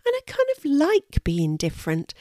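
A woman's voice: high-pitched, wildly swooping pitch, normal speed, darkish tone, dry recording, studio quality, pitched above the performer's own voice. A woman voicing a small, shy, earnest young female squirrel in a children's storybook, with a little, apologetic voice. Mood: earnest, upbeat. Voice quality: little, thin voice.

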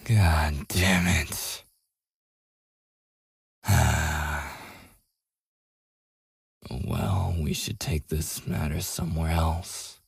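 Casting: male actor as taunting, possessive werewolf for ASMR roleplay. Extremely low register, husky and breathy, menacing. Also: steady tone, dry recording, exaggerated voice. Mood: gruff, teasing. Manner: slow, teasing, menacing. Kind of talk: boyfriend ASMR audio roleplay acting.

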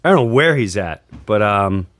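A man hosts a casual podcast with a highly animated delivery. His pitch jumps around as he tells stories and mimics quoted remarks. The sound is dry and close. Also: moderate pace, no echo. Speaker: podcast host and comedian.

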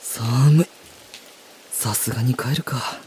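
A woman playing a reserved, blunt high school boy in a radio drama, speaking both curt dialogue and introspective narration. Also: slow pace, warm tone, a little hiss or hum. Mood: wistful, introspective. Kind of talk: radio drama acting and first-person narration.

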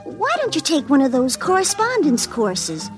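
A prim, humorless young girlfriend in a vintage radio comedy. Her voice is high and swings with exasperation as she scolds. A little music is faintly heard behind her. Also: huge pitch swings, moderate pace. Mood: nagging. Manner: scolding, literal-minded, humorless. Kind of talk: 1950s radio comedy acting.

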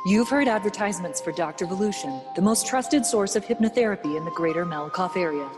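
A polished radio-ad announcer, played by a woman in a radio play. Her voice trails off into a long reverb. Clear music plays underneath. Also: on the low side, expressive, fast talker, close to an everyday voice. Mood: smooth. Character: smooth female radio-ad announcer.

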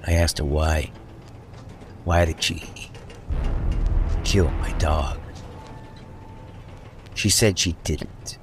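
An actor playing a weary, menacing mob boss with a sentimental streak in a radio drama, deliberate in delivery. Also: male voice, deep voice.

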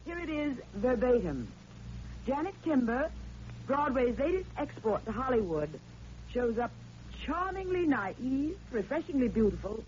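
A woman's voice: high-pitched, sing-song, measured pace, darkish tone, a little hiss or hum. A haughty, scheming lady columnist in a 1940s radio drama. Her lines swoop up and down with arch, cutting precision. The sound is a muffled vintage broadcast. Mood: arch, sweetly false. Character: sharp-tongued, scheming newspaper woman columnist, haughty and guarded.

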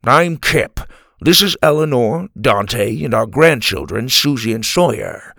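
Storybook grandfather performed by a man: elderly, excitable, eager adventurer with animated, swooping delivery. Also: medium pitch, unhurried, creaky, no echo, studio quality, exaggerated voice. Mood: brisk, eager. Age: older. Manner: eager, exclamatory.

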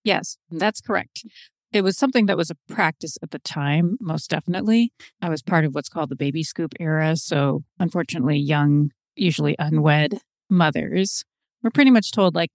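A woman's voice: sits low, moderate pace, vocal fry, no room sound, studio quality. A middle-aged woman from Seattle, a podcast guest, reflecting on her life in a chatty, storytelling way. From Seattle, USA. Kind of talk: conversational interview.

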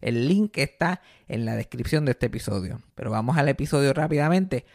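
A man's voice: mid-range voice, fairly quick, vocal fry. A man from Texas hosting a podcast, chatting casually and telling gossipy stories while acting out the voices of the people he quotes. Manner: animated storyteller, acts out quoted voices. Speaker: podcast host.

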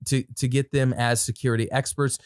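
A man's voice: medium pitch, little pitch movement, brisk, smooth, no room sound, clean studio sound. A man hosting a podcast, giving casual product commentary with informal, slangy asides and some reading from notes. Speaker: podcast host.

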